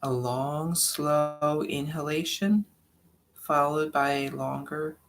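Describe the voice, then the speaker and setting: A meditation guide giving soft-spoken, drawn-out breathing instructions with long pauses between phrases. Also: mid-range voice, steady tone.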